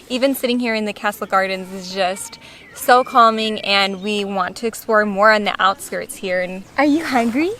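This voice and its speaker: Higher-voiced American woman, casual travel vlog. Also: a bit bright. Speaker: travel vlogger. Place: outdoors in a town and gardens. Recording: outdoor ambience with clear background noise.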